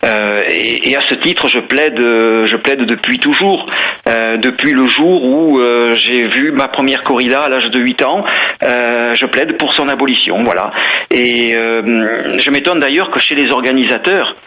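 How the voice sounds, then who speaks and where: A man from Bordeaux, a campaigning guest on a radio interview, who talks in a halting way and repeats words while he searches for them. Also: mid-range voice, pushed and bright. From France, Bordeaux. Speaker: anti-bullfighting campaigner guest.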